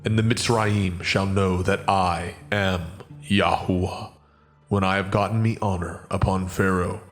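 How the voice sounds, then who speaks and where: A man voicing God in a dramatized scripture reading, speaking as a slow, solemn and commanding deity who declares each phrase. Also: low voice, fairly even intonation.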